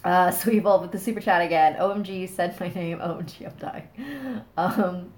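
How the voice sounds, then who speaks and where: A woman's voice: on the low side, normal speed, darkish tone. Stuffy-nosed woman hosting a casual livestream. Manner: chatty, thanks viewers, laughs, trails off. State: stuffy nose. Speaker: host reading scary stories on a livestream. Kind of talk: casual livestream chat and story reading. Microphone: phone on a small stand.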